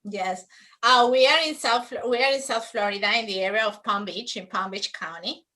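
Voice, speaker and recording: A woman's voice: mid-range voice, normal speed, sharp tone. A woman from Peru, a realtor guest, explaining her area in casual interview chat with many fillers and restarts.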